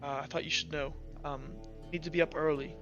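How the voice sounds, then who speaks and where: A man's voice: a little high, level tone, fast talker, lo-fi sound, over music, natural voice. A man voicing a subdued female guard captain who speaks hesitantly and tersely in a tabletop game. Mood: reluctant, somber.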